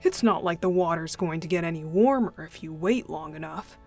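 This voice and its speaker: A woman voicing a playful, mischievous young male deacon in an unhurried, teasing ASMR roleplay style. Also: on the low side, a little mellow, faint music behind. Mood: playful, confiding. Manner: teasing, unhurried, chuckling, breathy asides.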